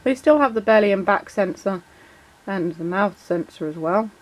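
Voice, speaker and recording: A woman with a croaky voice and a sore throat from a cold, chatting casually as she explains toy features in a vlog. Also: mid-range voice, lively intonation, moderate pace, rough recording. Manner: chatty, many fillers, reads out shout-outs. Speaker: toy collector vlogger.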